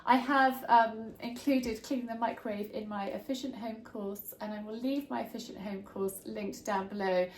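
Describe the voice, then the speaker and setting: A woman's voice: fairly high pitch, fairly even intonation, moderate pace, few pauses, reverberant, slight background noise, rough recording. Congested woman in her kitchen. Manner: chatty, homely, friendly asides. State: congested, bunged up from hay fever. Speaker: home tips vlogger. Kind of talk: casual how-to chat to camera. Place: home kitchen.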